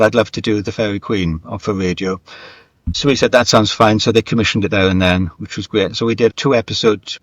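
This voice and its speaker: Low-voiced man, dull roomy recording. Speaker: guest, screenwriter and radio dramatist. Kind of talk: reflective interview conversation, career anecdotes.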